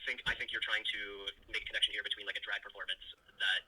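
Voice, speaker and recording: A man's voice: lowish voice, fairly even intonation, quick pace, pushed and bright. A man working as an office assistant, heard over a telephone line, frank and businesslike. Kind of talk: office phone conversation. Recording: phone line.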